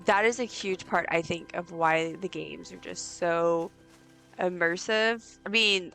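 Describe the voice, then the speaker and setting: A woman with a raspy voice chatting casually while streaming a game, rambling with lots of ums and likes. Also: lowish voice. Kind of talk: casual live gameplay chat. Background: soft game music.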